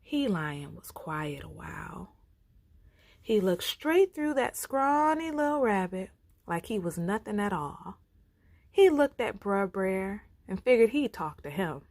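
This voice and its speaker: Female read-aloud storyteller for kids, very expressive, takes her time, folksy and dramatic. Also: mid-range voice, slow, dry recording. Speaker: storyteller reading aloud for children.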